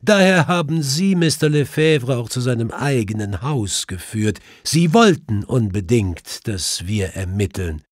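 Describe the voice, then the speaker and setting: A man's voice: medium pitch, expressive, a little slow, few pauses, no echo, studio quality, voice pushed up. A man voicing a clever, self-assured male detective who explains things precisely in an audiobook. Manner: precise, explanatory, faintly mischievous.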